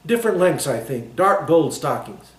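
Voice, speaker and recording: A woman's voice: deep voice, very animated, normal speed, reverberant. A man voicing a bossy, fussy society matron in a storybook reading, decisive yet dubious. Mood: fussy, planning.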